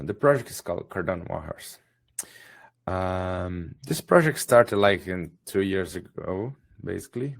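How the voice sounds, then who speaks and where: A deep-voiced man with a gravelly, hoarse edge and a Portuguese accent speaks slowly on a video call. English is not his first language, so he hesitates, restarts and fills gaps with "um" as he presents. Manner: hesitant, many fillers and restarts. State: hoarse, a bit sick. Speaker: guest presenter. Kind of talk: webinar presentation.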